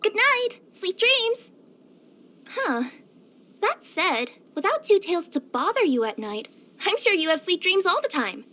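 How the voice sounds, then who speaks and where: Super high pitched cute cat girl courier for a video game, eager n cheery, really animated. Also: a little slow, full character voice. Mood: cozy, sleepy. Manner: expressive, cutesy, with gasps and hesitant murmurs. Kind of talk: scripted video game character voice lines.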